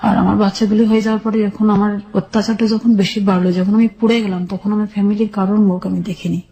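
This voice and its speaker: A woman's voice: mid-range voice, flat delivery, quick pace, gravelly, mellow, low-quality recording. A middle-aged woman with a Bangladeshi accent plainly and sombrely recounting her life step by step in a radio interview. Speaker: guest telling her life story. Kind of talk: personal testimony in a radio interview.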